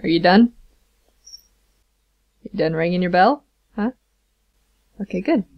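A woman's voice: fairly low pitch, slow pace, highs cut off. A woman voicing a short-tempered, foul-mouthed tough woman in an amateur cartoon parody, brusque and aggressive. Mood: impatient.

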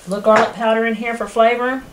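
A woman in her thirties hosting a cooking show, explaining each step in a plain, homey, unhurried way in a rustic wooden kitchen. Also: on the high side, steady tone. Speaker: home cooking show host. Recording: noisy home video with kitchen clatter.

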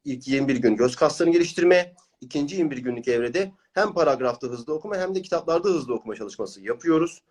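A man's voice: on the high side, lively intonation, quick pace, hardly any pauses, darkish tone. A man working as a reading coach and host, interviewing clients and explaining his course in an encouraging manner. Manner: encouraging, praising.

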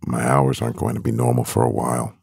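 A man playing a stressed, devoted CEO boyfriend in an intimate ASMR roleplay, murmuring with a husky, gravelly voice and pauses. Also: rumbling low, level tone, darkish tone, no echo, studio quality, exaggerated voice. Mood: weary, tender.

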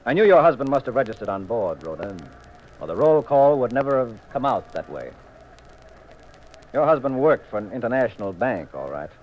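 An actor playing a cool, wry detective with a dry, commanding manner in a 1950s radio mystery. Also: male voice, medium pitch, big pitch swings, a little fast, darkish tone, highs cut off. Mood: accusing, triumphant. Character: cool, wry, assured male detective, married sleuth. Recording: noisy 1950s radio recording.

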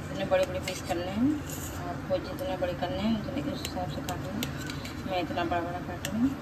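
Woman host, casual kitchen narration, sits back from the mic, loud sizzling pan underneath. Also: fairly low pitch, level tone. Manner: plain, unhurried running commentary while cooking. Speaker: home cooking channel host. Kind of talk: casual step-by-step cooking instruction. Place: home kitchen at the stove. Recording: distant phone mic with loud frying noise. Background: loud sizzling and frying from the pan.

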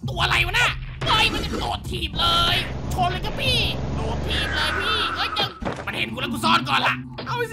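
A very high, squeaky little cartoon crewmate, panicky and excitable, with wildly swinging pitch. The frantic, bossy exclamations come in repeated bursts over loud music. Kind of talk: animated cartoon parody dubbing.

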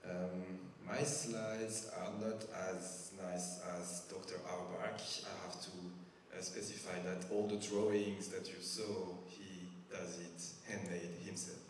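Low-voiced French man in a big hall. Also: level tone, a little hiss or hum. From France. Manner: slow, careful explanations with frequent hesitations. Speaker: physician speaker. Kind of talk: medical talk to a patient group. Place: large church hall with a stage. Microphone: handheld microphone. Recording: echoey hall from far off.

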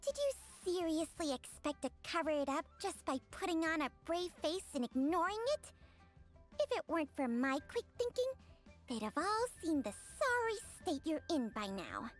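A woman voicing an ancient, wise female snake spirit who scolds and teases in a dry tone, in a video game. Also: high voice.

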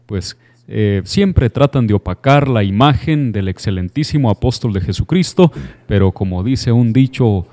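Young man with a mellow voice. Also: fairly low pitch, animated, moderate pace. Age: young adult. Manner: formal, devout. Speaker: news presenter. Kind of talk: live religious news report. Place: TV studio. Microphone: handheld microphone.

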